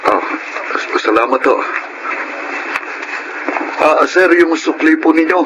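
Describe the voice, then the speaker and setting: A man's voice: on the high side, animated, slow, noisy background. A man acting a polite, humble tricycle driver and caring elder brother in a Filipino radio drama. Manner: respectful, earnest.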